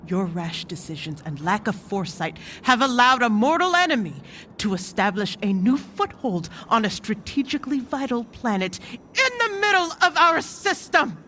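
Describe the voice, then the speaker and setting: A woman acting a stern, commanding mentor in an audio drama, speaking in a measured, reproachful way. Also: a little high, very animated, bright, forceful tone, a little hiss or hum. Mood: angry, scolding.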